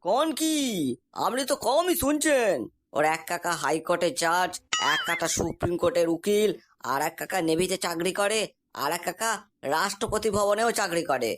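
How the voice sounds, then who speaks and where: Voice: mid-range voice, expressive, normal speed, fairly bright tone, slight background noise, lightly acted. An actor voicing a boastful, cocky young man in a comic cartoon, talking in a breezy, insistent, colloquial dialect. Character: boastful, cocky young man exaggerating to impress his friend's prospective father-in-law.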